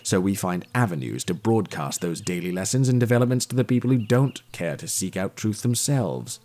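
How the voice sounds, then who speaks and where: An actor playing an earnest, opinionated detective in an audio drama, with a formal, declamatory, pedantic manner. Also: male voice, fairly low pitch, expressive, fairly quick, mellow, natural voice. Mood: earnest, self-righteous. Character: male detective, pompous, earnest, opinionated seeker of truth.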